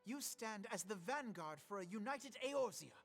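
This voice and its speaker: High young guy commander, formal and lofty, video game hero type. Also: animated, moderate pace, fairly bright tone, faint music behind, exaggerated voice. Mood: rousing, solemn. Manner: formal, lofty, archaic phrasing. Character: idealistic young male commander rallying his comrades. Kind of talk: scripted game voice acting, oratory.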